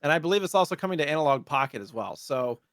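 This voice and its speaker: A man's voice: a little high, lively intonation, rapid-fire, fairly bright tone, no room sound, clean studio sound. A man co-hosting a podcast, chatting casually and jokingly about gaming news. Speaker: podcast co-host.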